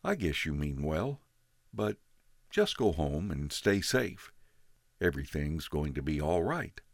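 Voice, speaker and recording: A man voicing a stern, quick-tempered father who is a police officer, speaking in clipped, snapping lines. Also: extremely low pitch, sing-song, no echo, clean studio sound, exaggerated voice. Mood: softened.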